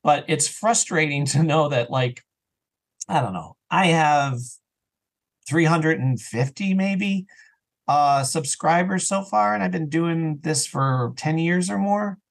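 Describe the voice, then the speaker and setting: A man with a fairly high voice speaks as a guest in a casual interview. He is earnest and animated, talking like a podcaster venting to a friendly colleague. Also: takes it slowly, gravelly. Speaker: interview guest, audio drama creator and podcaster.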